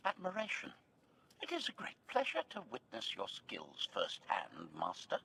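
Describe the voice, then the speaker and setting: A servile video game war droid, low and creaky, with swooping intonation. It speaks in formal, clipped declarations through a robotic filter, coolly menacing beneath its obedience. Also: male voice, huge pitch swings, normal speed, sharp tone, a little hiss or hum, full character voice. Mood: formal, obedient. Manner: announces each statement type, formal and clipped. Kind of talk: video game character acting.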